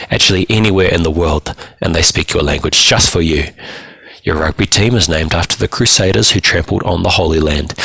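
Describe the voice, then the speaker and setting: Male reader as middle-aged female probation officer, motherly, patronising, coaxing, bright edge, dry close sound. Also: deep voice, creaky, slightly rough, dry recording, exaggerated voice, rough recording. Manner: calls the listener child, coaxing and lecturing. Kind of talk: dramatised short story reading.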